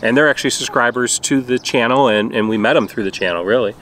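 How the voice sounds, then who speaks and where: A man's voice: mid-range voice, fast talker, a bit bright, rough recording. Middle-aged man vlogging outdoors over background noise. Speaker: RV travel vlogger. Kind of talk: casual chat to camera. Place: outdoors at a campground.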